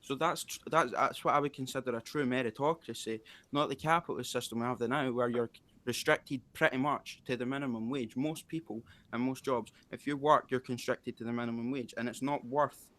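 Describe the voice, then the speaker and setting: Male debater, average pitch, argumentative, rambling, frequent restarts. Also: steady tone, fairly quick, a bit bright. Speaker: debate participant. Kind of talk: casual online debate.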